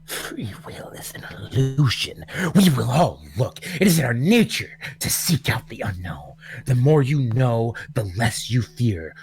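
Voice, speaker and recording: Male actor as sinister radio-drama broadcast voice, strained gargle, slow, swooping pitch, coaxing, ominous. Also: big pitch swings, a little mellow. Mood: eerie, coaxing. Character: sinister gargling broadcast voice luring people to look. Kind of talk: radio drama read-through.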